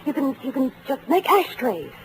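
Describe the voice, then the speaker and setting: A faintly noisy vintage radio recording of a naive, devoted American secretary. Her voice is high and very lively, fussing with adoring earnestness. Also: normal speed. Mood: chirpy, adoring. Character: devoted, chirpy, naive female secretary to a detective. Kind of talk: 1940s American radio comedy-drama acting. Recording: old radio broadcast, faint noise.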